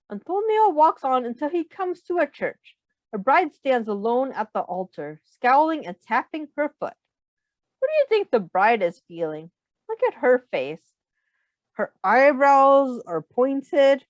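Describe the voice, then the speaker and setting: Female children's read-aloud teacher, very animated, high voice, asks listeners questions; dry, slightly muffled lo-fi sound. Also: takes it slowly, no room sound. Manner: simple, guiding questions to listeners. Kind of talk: expressive picture-book read-aloud with questions.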